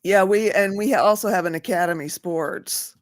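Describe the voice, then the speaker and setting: A woman in her fifties in a casual recovery meeting chat, chatty and quick to laugh, asking follow-up questions. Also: mid-range voice, no room sound, studio quality. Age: 50s.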